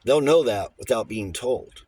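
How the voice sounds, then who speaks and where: A man's voice: medium pitch, measured pace, darkish tone, slight background noise, lightly acted. A man voicing a little old bachelor lawyer in an audiobook, formal and precise, shifting between diffidence and relish for a fight. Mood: confident, combative. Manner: hesitant stammers giving way to precise, emphatic pronouncements.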